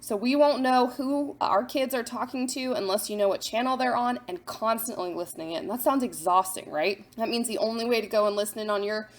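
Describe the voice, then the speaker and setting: Higher-pitched woman presenting a parent guide. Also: a little fast, few pauses. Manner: instructive, poses questions then answers them. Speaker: host of a parent guide to apps. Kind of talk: scripted explainer for parents.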